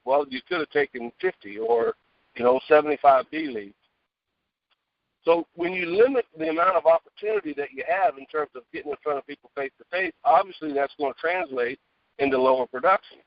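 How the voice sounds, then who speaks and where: Male guest sales speaker, lively swooping delivery with some fry, emphatic and conversational, dry muffled phone call. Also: a little high, brisk, dry recording. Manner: emphatic, conversational, checks in with "okay?" and "right?". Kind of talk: motivational sales talk to agents. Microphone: phone earpiece. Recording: dull lo-fi phone earpiece call.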